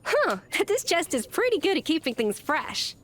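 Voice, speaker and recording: A woman's voice: sits high, very animated, moderate pace, a bit bright. An actress playing a poised, self-assured young female chef in a video game. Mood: pleased. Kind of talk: video game character voice lines.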